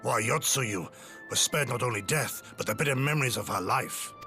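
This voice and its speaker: An actor playing a solemn, conscientious retainer who speaks in grave, formal, measured rhetoric in a video game drama. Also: male voice, medium pitch, expressive, over music, natural voice. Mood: grave appeal.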